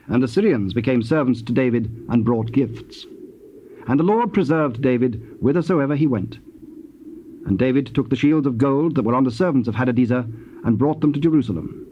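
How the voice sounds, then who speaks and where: A man with a British accent narrating scripture in a formal, measured, scripted style. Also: medium pitch. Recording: muffled tape, faint background noise.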